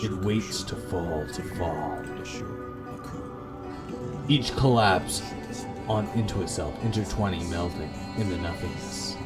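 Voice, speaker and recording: A solemn, otherworldly horror-game entity, read by a man, speaks in a low, hushed voice. The delivery is slow and portentous, with atmospheric music clearly behind it. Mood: ominous, solemn.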